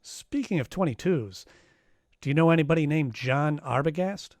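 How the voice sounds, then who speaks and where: An actor playing a tough, wry private detective in a 1940s-style radio drama, narrating and trading lines. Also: male voice, on the high side, lively intonation, moderate pace, no echo, clean studio sound, close to an everyday voice. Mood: cool, needling.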